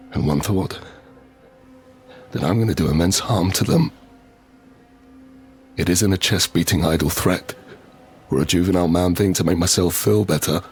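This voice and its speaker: An actor playing a desperate, vengeful father who narrates his post-apocalyptic survival in an intense, brooding, deliberate manner. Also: deep voice. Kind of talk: first-person scripted audio drama narration.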